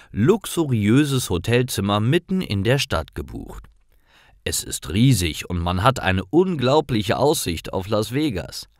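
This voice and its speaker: A man narrating scripted stories for language learners, reading simple sentences clearly. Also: on the low side, no echo, clean studio sound.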